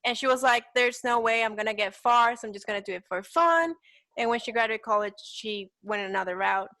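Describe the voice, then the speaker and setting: A woman's voice: a little high, fairly quick, bright, forceful tone, no echo. A woman in her twenties from El Salvador with a Salvadoran Spanish accent, chatting casually and upbeat as an interview guest. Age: 20s. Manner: chatty, upbeat, says "like" often. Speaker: guest, petite model.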